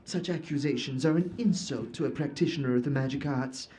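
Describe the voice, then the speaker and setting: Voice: medium pitch, brisk, warm tone, slight background noise, lightly acted. A performer voicing a fussy, pompous wizard who has turned himself into an armoire, stammering when flustered. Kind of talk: audio drama acting.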